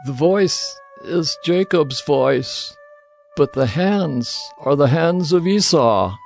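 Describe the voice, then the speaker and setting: A man playing an old, dim-eyed father in a dramatized Bible, speaking with slow, solemn deliberation. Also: on the high side, expressive, vocal fry, darkish tone, full character voice. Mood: doubtful, searching. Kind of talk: dramatized Bible audio acting. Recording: music under the voice.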